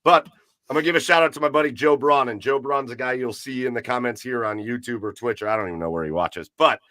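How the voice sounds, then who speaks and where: A man hosting a live podcast with an upbeat, emcee-like delivery. His voice sits at an ordinary pitch and sounds dry and close to the mic, as if recorded in a small, deadened room. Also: fast talker, pushed and bright, no room sound. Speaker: podcast host. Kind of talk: casual livestream chat.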